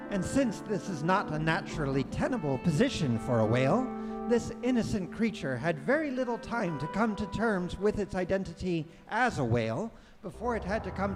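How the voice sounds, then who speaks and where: A man playing the droll, unflappable narrator of a live comic space radio play, measured and matter-of-fact. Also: fairly high pitch, natural voice. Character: droll, unflappable omniscient storyteller of a space comedy. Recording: live hall with music behind.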